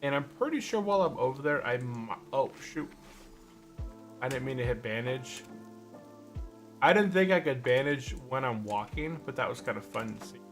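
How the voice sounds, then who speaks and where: A man's voice: mid-range voice, animated, normal speed, faint music behind. A man chatting casually on a gaming livestream, rambling and thinking aloud as he plays. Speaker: livestream host, gamer.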